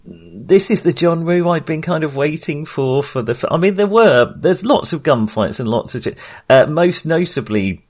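A man's voice: on the high side, expressive, moderate pace, few pauses, darkish tone. A man co-hosting a film podcast, chatting casually and hesitantly as he thinks his opinions through aloud.

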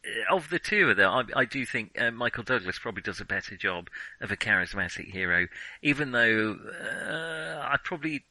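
A man's voice: mid-range voice, expressive, normal speed, sharp tone, dry recording, low-quality recording. A man co-hosting a casual film discussion podcast, speaking in a hesitant way and often qualifying what he says.

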